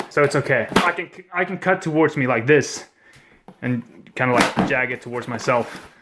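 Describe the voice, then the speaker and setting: A man's voice: on the high side, background noise. Young swedish guy unboxing pc parts, hyped, swears a ton, home webcam. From Sweden. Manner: excitable, swears a lot, jokey. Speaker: gaming vlogger. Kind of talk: casual unboxing vlog. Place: at home indoors.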